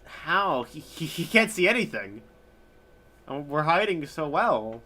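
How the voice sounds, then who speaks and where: Bright-voiced, wry male game streamer. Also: a little high, very animated, measured pace, slight background noise. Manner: wry, sarcastic. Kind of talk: casual live gaming commentary.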